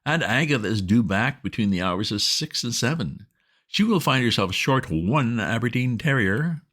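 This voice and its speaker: A man voicing a flustered, excitable young gentleman who bursts out in indignant exclamations in a storybook reading. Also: medium pitch, pitched above the performer's own voice. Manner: exclamatory, indignant, rapid outbursts.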